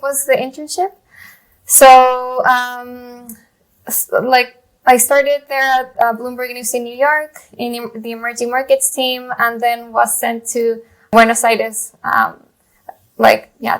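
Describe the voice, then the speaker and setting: A woman's voice: a little high, creaky, fairly bright tone, rough recording. A woman in her thirties from Chile, a journalist guest telling her story in a rambling way, full of fillers and restarts. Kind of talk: casual interview talk.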